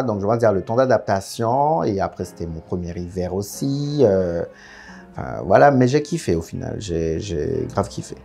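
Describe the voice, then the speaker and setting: A man's voice: on the low side, warm tone, slightly rough. A young man from French Guiana, a guest in a casual interview, telling his story frankly with lots of fillers. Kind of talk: candid casual interview chat.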